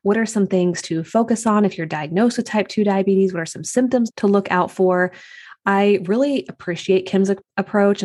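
A woman's voice: medium pitch, a little mellow. A woman hosting a podcast, introducing her guest in an upbeat, effusive way. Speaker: podcast host.

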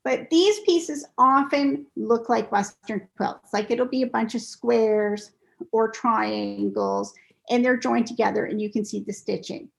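A woman's voice: fairly high pitch, unhurried, rough recording. A woman guest on a podcast, telling stories and explaining a sewing craft step by step in a casual, patient way. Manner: explains in steps, patient and clear. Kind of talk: casual interview talk.

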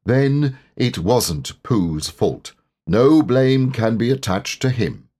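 A man acts out a pompous old-school owl from a children's storybook. He is solemn and wordy, speaking very deliberately with broad rises and falls in pitch. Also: animated, darkish tone. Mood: considering.

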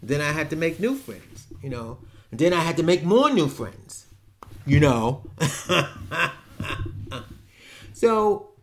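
A man talks in a loose, rambling way, often restarting his sentences. His voice sits a little high for a man. He speaks frankly, as if chatting with a friend. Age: 70s. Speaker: podcast host. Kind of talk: casual personal monologue.

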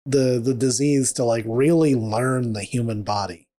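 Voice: mid-range voice, fairly even intonation, moderate pace, warm tone. A podcast co-host in casual book talk, rambling and joking, with many fillers.

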